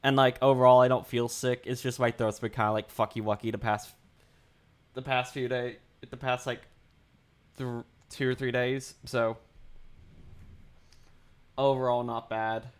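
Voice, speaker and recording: A man's voice: medium pitch, level tone, measured pace, long pauses, smooth. Chatty young man with a sore throat. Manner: rambling, chatty, greets chat, repeats himself. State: sore dry throat, voice a bit deeper. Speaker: art livestreamer. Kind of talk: casual livestream chat.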